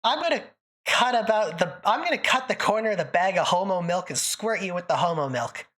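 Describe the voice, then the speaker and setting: A woman's voice: mid-range voice, expressive, a little fast, a bit bright. A woman from northern Canada hosting a livestream, chatting with viewers in a thick accent, joking and laughing often. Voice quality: thick put-on-sounding accent. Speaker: livestream host. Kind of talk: casual livestream chat answering viewers.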